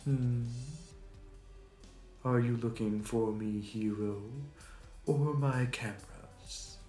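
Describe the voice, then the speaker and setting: A man's voice: medium pitch, fairly even intonation, warm tone. A man playing a suave, smug supervillain in an audio roleplay, with a mocking, flirty, theatrical delivery. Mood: measured, coy. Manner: theatrical, taunting, drawn-out delivery. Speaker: voice actor. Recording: roomy echo, distant mic, music behind.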